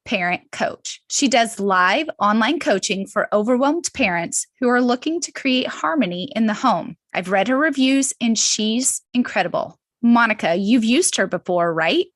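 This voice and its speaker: A woman around her thirties or forties co-hosting a podcast in a casual, informal and expressive way. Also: fairly high pitch, moderate pace, few pauses, no room sound. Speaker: podcast co-host. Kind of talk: casual chat.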